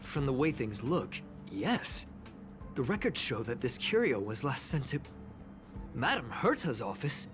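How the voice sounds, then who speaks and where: An actor playing an excitable young space station investigator who puzzles aloud in scripted game dialogue. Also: mid-range voice. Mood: confused. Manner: animated, pondering aloud. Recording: background music under dialogue.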